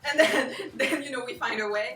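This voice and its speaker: A woman's voice: a little high, fast. A young woman from France chatting casually, telling stories animatedly and acting out dialogue. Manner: animated storyteller, acts out dialogue, laughs often. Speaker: co-host. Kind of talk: casual group chat. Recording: roomy, distant mic.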